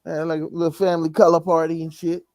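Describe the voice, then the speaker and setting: A man's voice: fairly high pitch, completely flat delivery, fast, darkish tone, no echo, clean studio sound. A man co-hosting a podcast, chatting casually in a slangy, profane, joking way. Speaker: podcast co-host. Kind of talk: casual chat.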